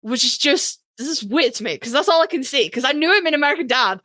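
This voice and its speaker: A woman with a Yorkshire accent and a fairly high voice that swings widely in pitch. She talks like an opinionated podcast co-host, blunt and sweary, leaning hard on words for drama. The sound is dry and close. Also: expressive, fast, bright, forceful tone, dry recording. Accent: Yorkshire English. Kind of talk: casual opinionated fan chat, ranked countdown.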